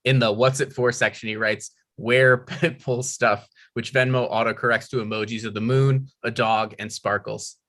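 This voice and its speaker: Bright-voiced male script narrator. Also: medium pitch, steady tone, moderate pace, hardly any pauses. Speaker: stage-direction narrator. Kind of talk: reading screenplay directions aloud.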